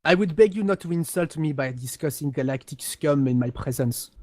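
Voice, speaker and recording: A man voicing a pompous, condescending highborn aristocrat of a galactic empire in a halting, haughty delivery, as in an amateur role-play of game lore. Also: a little mellow, natural voice. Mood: indignant, disdainful.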